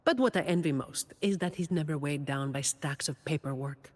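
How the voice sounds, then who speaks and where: A woman playing a weary, dutiful young office employee in a video game, delivering scripted monologue in a dry, deadpan way. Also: deep voice, normal speed, long reverb tail. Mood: earnest, reflective.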